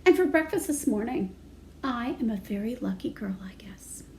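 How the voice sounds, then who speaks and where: A woman's voice: a little high, lively intonation, moderate pace, darkish tone, slight background noise. A woman voicing an earnest, singsong young girl character from a children's picture book. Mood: resigned.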